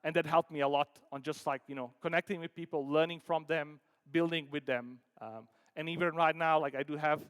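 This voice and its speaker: A man from Denmark giving an informal conference talk as a startup founder, halting and conversational, peppering sentences with filler words like "like" and "you know". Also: on the high side, steady tone, fairly bright tone, smooth. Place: conference hall stage. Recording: echoey hall with long reverb.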